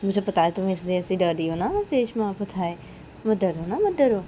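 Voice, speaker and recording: A woman's voice: medium pitch, expressive, moderate pace, dark tone, a little hiss or hum, close to an everyday voice. A woman voicing a possessive, insistent young woman. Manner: insistent, pressing with repeated questions.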